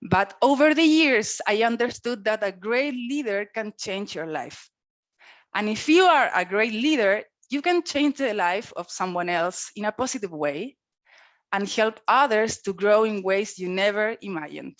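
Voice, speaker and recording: A woman giving an earnest, measured prepared speech on leadership over a video call. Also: medium pitch, animated, no room sound. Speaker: club speaker.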